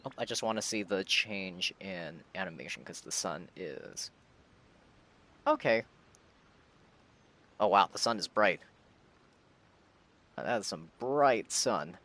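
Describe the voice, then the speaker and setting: Animated male streamer chatting casually. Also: deep voice, takes it slowly, long pauses. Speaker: streamer reacting to a game. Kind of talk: casual live-stream chat.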